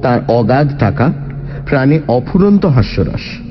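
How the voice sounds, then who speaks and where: A man narrating a story in the first person, with an expressive, deliberate dramatic delivery. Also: mid-range voice, highs cut off. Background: faint music.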